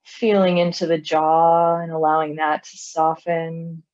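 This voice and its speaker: A woman leading a guided body scan meditation in a calm, soothing manner, leaving long pauses between phrases. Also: deep voice, dull audio. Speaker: meditation teacher.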